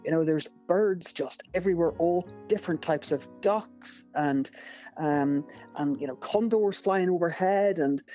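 A woman's voice: lowish voice, measured pace, mellow, dull audio, soft background music. A woman with a Northern Irish accent, a fishing guide telling stories as a podcast guest, enthusiastic and rambling with many fillers. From Northern Ireland.

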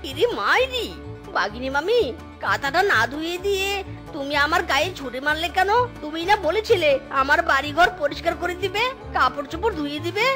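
A sly widower fox from a cartoon , acted out by a voice performer. The voice is high and whiny, melodramatic and shamelessly wheedling, over clearly audible background music. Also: big pitch swings, fairly quick, bright, forceful tone, exaggerated voice. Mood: cheeky. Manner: wheedling, melodramatic, fond of exclamations. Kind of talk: cartoon voice acting.

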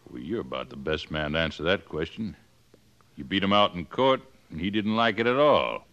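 An actor playing a weary middle-aged town sheriff who talks in a drawling, plainspoken way in a 1950s radio western. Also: male voice, low voice, expressive, moderate pace, a bit bright, highs cut off. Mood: plain. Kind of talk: 1950s radio drama acting.

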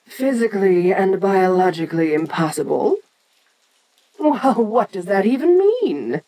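A woman playing an ancient, domineering giant kaiju in an audio roleplay, haughty, deliberate and curious, talking down to a lesser creature. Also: medium pitch, very animated, dark tone, poor audio.

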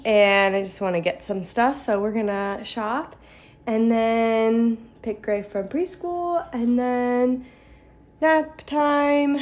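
A woman's voice: a little high, darkish tone. A young American woman chatting casually as a vlogging mother, recorded on a phone at arm's length at home. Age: young adult. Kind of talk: casual vlog chat. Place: indoors at home, living room and kitchen. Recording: clear household background noise.

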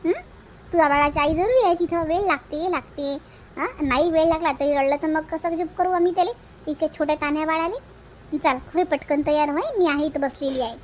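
A woman's voice: high voice, a little fast, a little hiss or hum, lightly acted. A woman voicing a bossy but caring village woman in a family comedy cartoon, emphatic, with a Vidarbha Marathi accent. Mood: reassuring, firm. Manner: chiding, reassuring, emphatic.

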